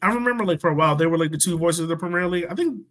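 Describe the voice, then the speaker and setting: A man's voice: a little high, racing, a bit bright, faint music behind. An American man co-hosting a sports podcast in casual chat, thinking aloud in a conversational way.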